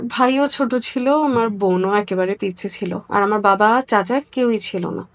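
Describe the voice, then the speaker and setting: A woman from Bangladesh giving a personal account as an interview guest, candid and somewhat halting. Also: mid-range voice.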